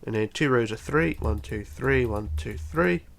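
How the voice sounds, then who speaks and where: A man's voice: medium pitch, a little slow, gravelly. A man with a bunged-up, congested voice giving a casual step-by-step building tutorial, counting aloud methodically. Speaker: tutorial host.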